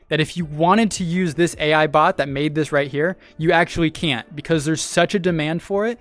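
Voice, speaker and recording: A man hosting a casual livestream, chatting with viewers and thanking new followers. He laughs often, repeats himself, and has a voice he says is made for radio and sales. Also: fairly high pitch. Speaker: streamer and podcast host. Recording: dry close mic with faint music behind.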